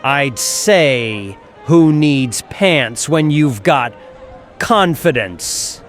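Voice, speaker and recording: A man's voice: mid-range voice, lively intonation, drawn-out words, soft background music. A man voicing a cocky, touchy sporty puppet with a swaggering theatrical manner.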